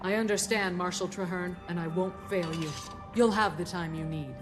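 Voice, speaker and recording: A woman's voice: fairly low pitch, fairly even intonation, normal speed, natural voice. An actress playing a brave, devout shaman warrior in a video game, earnest and stout-hearted. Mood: resolute. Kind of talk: dramatic video game cutscene acting. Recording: voice over loud game music.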